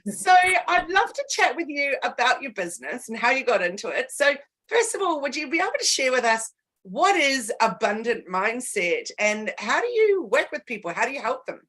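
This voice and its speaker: A woman's voice: sits high, big pitch swings, normal speed, a bit bright. Chatty Kiwi woman hosting a podcast. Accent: New Zealand. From New Zealand. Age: 40s. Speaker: podcast host. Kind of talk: casual video-call interview. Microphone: video call.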